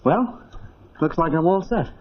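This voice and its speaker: A man's voice: fairly high pitch, animated, measured pace. A man playing an eager, obliging young airman in a 1940s military film drama. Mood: casual, ready. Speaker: actor. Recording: noisy muffled 1940s film soundtrack.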